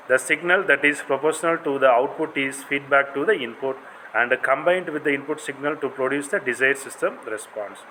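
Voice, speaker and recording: Bright-voiced Indian man lecturing over noise. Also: medium pitch, low-quality recording. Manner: didactic, non-native English phrasing. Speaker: college lecturer. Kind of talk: explanatory lecture.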